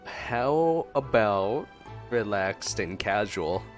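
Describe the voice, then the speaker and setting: A man giving casual, halting gameplay commentary as a streamer, musing aloud and reading out dialogue choices. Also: fairly low pitch, expressive, faint music behind.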